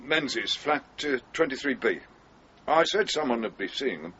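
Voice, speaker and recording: A hesitant, deferential constable, subdued and medium in pitch. It is radio drama on a slightly muffled recording with faint background noise. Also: male voice, lively intonation, normal speed, sharp tone. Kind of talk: naturalistic radio drama acting.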